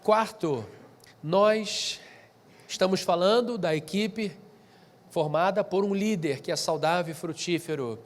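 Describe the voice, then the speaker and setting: A man's voice: high-pitched, a little slow. A man with a stuffy, nasal voice from allergies gives a conversational lecture to a live group, often restarting and adding asides. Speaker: speaker at a pastors' training session. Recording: long hall reverb.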